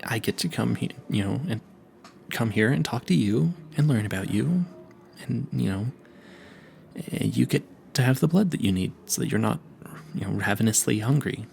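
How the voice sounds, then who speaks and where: A man's voice: mid-range voice, animated, vocal fry, darkish tone, a little hiss or hum. A man playing a nervous, smitten and clueless vampire hunter in an audio roleplay, stammering and correcting himself as he speaks to the listener. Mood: flustered, earnest. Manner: flustered, stammering, halting and self-correcting.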